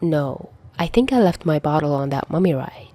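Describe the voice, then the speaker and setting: Woman as a wry, mellow middle-aged man. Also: sits low, expressive, moderate pace. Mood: gently caring. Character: wry middle-aged man, exasperated but fond father figure.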